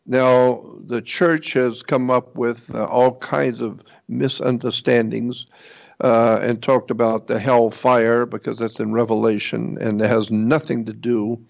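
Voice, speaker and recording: A man giving an informal explanatory lecture in a halting, rambling way, repeating points for emphasis. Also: mid-range voice, gravelly, darkish tone. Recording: dull, lo-fi muffled audio.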